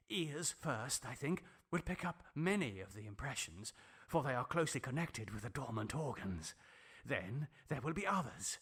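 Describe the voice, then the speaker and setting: A man's voice: fairly high pitch, fairly bright tone, husky, full character voice. A man voicing a crazed, gloating, obsessed scientist with theatrical, emphatic menace in an audiobook horror story. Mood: gloating, mocking. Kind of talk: dramatic audiobook character acting.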